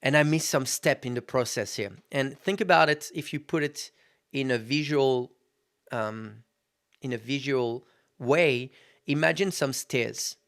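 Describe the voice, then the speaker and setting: A man in his thirties at an ordinary mid pitch, hosting his own podcast in a loose, unscripted monologue. He talks frankly, swears without restraint and keeps turning to his listeners as guys. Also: unhurried, fairly bright tone. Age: 30s. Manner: candid, swears freely, addresses listeners as guys. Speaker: podcast host. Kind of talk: casual motivational monologue.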